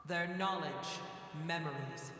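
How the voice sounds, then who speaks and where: Echoing, solemn ancient dragon. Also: male voice, on the high side, bright, forceful tone. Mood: solemn, calm. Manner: solemn, grand, measured speech. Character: ancient proud dragon, weary, bitter, disdainful of humans. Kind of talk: scripted video game dialogue. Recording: echoing cavernous reverb, faint noise.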